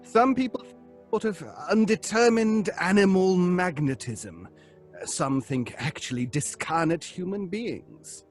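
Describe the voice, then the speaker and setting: An actor playing an earnest, pedantic professor leading a seance in a radio drama, speaking precisely with hesitant ums. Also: male voice, high voice, expressive, slow, soft background music. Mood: pedantic, measured. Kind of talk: old-fashioned radio drama acting.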